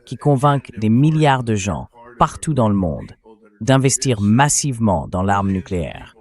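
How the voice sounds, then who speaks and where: A man with a fairly low, AI-generated voice delivers political and military commentary. He speaks as an interview guest, emphatically, in short declarative statements. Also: very animated, measured pace, a little mellow. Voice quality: AI-generated translation voice.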